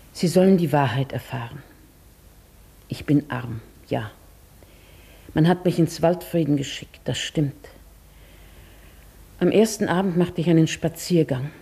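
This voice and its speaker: An older woman acting a poor, proud and wistful old widow in a German radio drama, speaking formally and with measured care. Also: low-pitched, mellow, heavily acted. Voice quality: husky, creaky.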